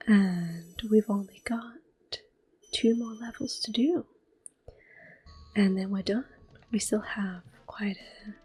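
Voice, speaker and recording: A woman giving gentle, soft-spoken ASMR gameplay commentary in a breathy whisper. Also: mid-range voice, low-quality recording. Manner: gentle, unhurried. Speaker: gameplay host. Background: soft game music.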